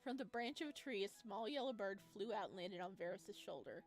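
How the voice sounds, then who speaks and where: A woman hosting a let's play, narrating a visual novel and reacting casually in an expressive, informal way. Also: medium pitch, brisk. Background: music.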